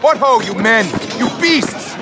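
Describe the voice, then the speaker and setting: An actor in a Shakespearean audio drama playing an outraged, commanding military ruler, stern and declamatory. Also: male voice, very high voice, lively intonation, unhurried, background noise. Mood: furious command.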